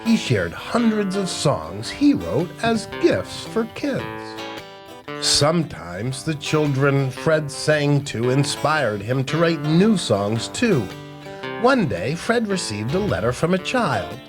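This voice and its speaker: A man reads a picture book to children with a swooping, animated delivery. He takes his time and stretches key words for emphasis, while guitar music plays clearly behind him. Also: fairly high pitch, takes it slowly. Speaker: children's storytime host.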